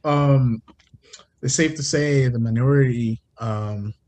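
A man who grew up in Connecticut, telling stories in a casual podcast chat, animated, slangy and swearing. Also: mid-range voice, slow.

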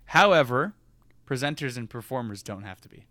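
A man's voice: mid-range voice, animated, fairly quick, bright, forceful tone, dry recording. A man co-hosting a podcast, joking and rambling in casual chat. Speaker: podcast co-host.

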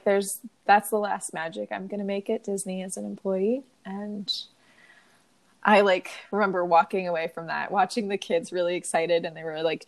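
A young woman co-hosting a podcast, telling a story in a chatty, filler-heavy way and sniffly from crying. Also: lowish voice. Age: young adult. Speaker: podcast co-host. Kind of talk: casual chat, storytelling.

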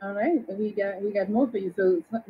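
Brisk woman host, muffled audio. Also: medium pitch, slightly rough. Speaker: dating show host. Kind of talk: hosting a live call-in show.

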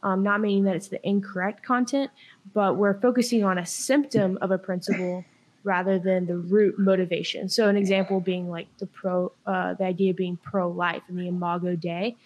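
Young woman raised in China, earnest explainer, podcast guest; plain even delivery. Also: medium pitch, moderate pace, low-quality recording. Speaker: podcast guest, workshop leader. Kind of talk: podcast discussion.

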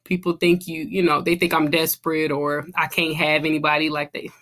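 A woman's voice: deep voice, little pitch movement, a little fast, fairly bright tone. A woman in her thirties hosting a podcast, chatting casually with lots of "you know" and "like". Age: 30s. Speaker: podcast host.

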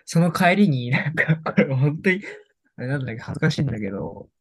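Young man, podcast co-host, dry close mic, relaxed and quick to laugh. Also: on the high side, breathy edge, dry recording. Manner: relaxed, laughs often, many backchannels. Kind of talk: casual chat about music.

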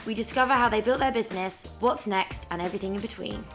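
A woman's voice: medium pitch, fast talker, a bit bright, faint music behind. A woman hosting a podcast, reading a scripted intro and then asking questions in a friendly way with some hesitant ums. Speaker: podcast host.

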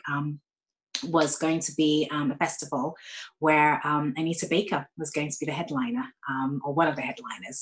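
A woman's voice: sits low, poor audio. A woman with a mixed Ghanaian, British and American accent, chatting as a guest in a casual podcast interview and often saying um. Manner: chatty, with frequent ums.

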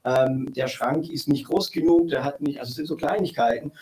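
A man's voice: fairly high pitch, a little fast, a little mellow, slight background noise, rough recording. A man guest explaining his views on marketing, hesitant with many fillers. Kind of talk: casual panel talk.

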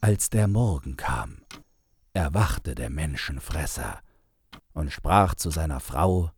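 A man narrating a fairy tale from a script in a measured, deliberate storytelling manner. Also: extremely low pitch, lively intonation, slight background noise.

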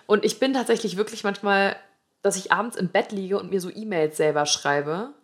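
A woman's voice: medium pitch, normal speed. A young woman co-hosting a podcast, chatting casually with a friend in colloquial youth slang peppered with English loanwords. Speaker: podcast co-host.

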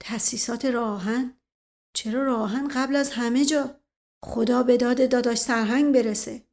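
A woman's voice: on the high side, steady tone, natural voice. A woman voicing a self-important, paranoid older uncle, pompous and grave, in a storybook reading. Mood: alarmed.

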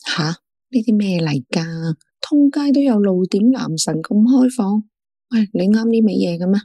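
An actress playing a fussy, nagging best friend in a Cantonese radio drama, with a Hong Kong accent. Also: female voice, mid-range voice, expressive, unhurried, dark tone, no room sound, clean studio sound. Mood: mock indignant. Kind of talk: radio drama acting, phone conversation.

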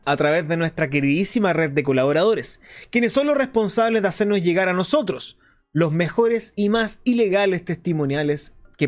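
An actor playing a flamboyant, sardonic underground radio host in a radio drama, talking in a grandiloquent, ironic, defiant way. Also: male voice, sits high. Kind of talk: theatrical radio drama monologue.

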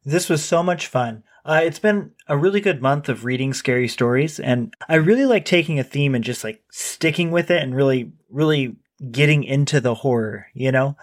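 A man's voice: mid-range voice, expressive, moderate pace, hardly any pauses, dry recording. Chatty male podcast host, dry close mic. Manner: chatty, lots of fillers and restarts. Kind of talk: casual book chat.

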